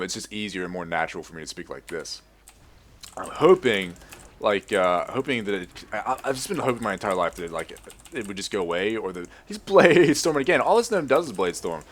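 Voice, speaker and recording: Low-voiced young man, American accent. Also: fairly bright tone. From Australia. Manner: rambling, profane, halting restarts. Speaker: gaming YouTuber. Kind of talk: casual commentary over gameplay.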